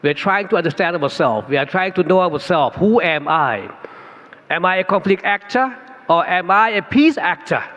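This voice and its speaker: A fairly high-voiced man with a Thai accent delivers an earnest keynote in English, his second language, rising into emphatic stress. His voice rings with a long hall reverb, and the recording sounds dull and lo-fi. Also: expressive, normal speed, fairly bright tone. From Thailand. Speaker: keynote speaker. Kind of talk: conference keynote speech.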